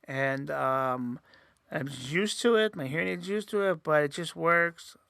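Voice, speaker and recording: A man's voice: fairly high pitch, a little slow, vocal fry, fairly bright tone, clear, resonant tone, dry recording, studio quality. A man hosting a casual solo podcast, rambling with many fillers and restarts, his voice sometimes a little nasal. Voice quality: says he sometimes sounds nasal. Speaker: host.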